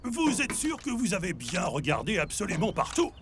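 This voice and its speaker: A man's voice: high-pitched, wildly swooping pitch, normal speed, heavy background noise, heavily acted. A man voicing a cheerful grandfather truck in a children's cartoon, theatrical, instructive and encouraging. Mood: questioning, patient. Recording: music behind the voice.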